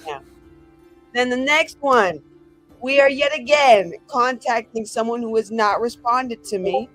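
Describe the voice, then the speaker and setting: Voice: mid-range voice, measured pace, fairly bright tone, faint music behind, low-quality recording. A tabletop roleplaying game player talking casually and playfully, improvising in character and narrating actions in informal language.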